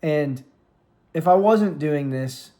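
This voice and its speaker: A man's voice: a little high, dark tone. A man in his late twenties from the United States, talking earnestly into a microphone at home in a casual, rambling vlog with many restarts. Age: 20s. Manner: earnest, rambling, with frequent restarts and trailing pauses. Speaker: vlogger and streamer. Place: room at home with lights, plants and a cat on his lap. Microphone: studio microphone.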